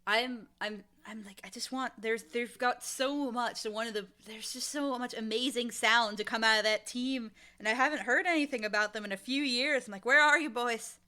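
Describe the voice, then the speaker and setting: A woman speaking as an interview guest, casual and enthusiastic, with an animated, emphatic way of talking about creative work. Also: fairly high pitch, moderate pace, hardly any pauses. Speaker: interview guest, audio drama creator and showrunner.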